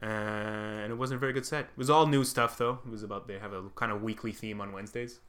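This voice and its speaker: A man's voice: fairly low pitch, fairly even intonation, fast talker, fairly bright tone. A man born in Lebanon, a comedian co-hosting a casual chat, rambling and swearing with self-deprecating jokes. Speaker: comedian and podcast co-host.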